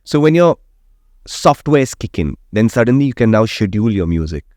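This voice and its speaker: A man's voice: fairly low pitch, sing-song, fairly quick, a little mellow, dry recording, studio quality. A radio jockey, explaining his views at length in an interview and often checking in with "right?". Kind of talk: opinionated interview conversation.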